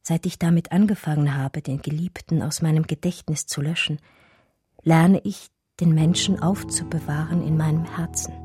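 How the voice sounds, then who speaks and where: Hushed, mellow, grieving art historian. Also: female voice, low-pitched, steady tone, moderate pace, slightly rough, dry recording, soft background music. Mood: wistful. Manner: reflective, lyrical, hushed. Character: Natascha, pensive art historian grieving her lost lover. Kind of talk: intimate radio play monologue.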